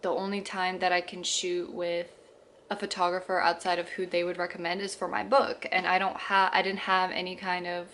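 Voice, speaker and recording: A woman's voice: lowish voice, little pitch movement, normal speed, fairly bright tone, a little hiss or hum. A woman in her twenties chatting casually to camera, filling her story with fillers, restarts and small laughs. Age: 20s. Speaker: vlogger.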